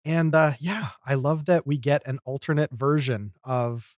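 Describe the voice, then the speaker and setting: A man's voice: on the high side, unhurried, darkish tone, no echo. A man co-hosting a podcast, talking casually and at length in a chatty, enthusiastic way. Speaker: podcast co-host.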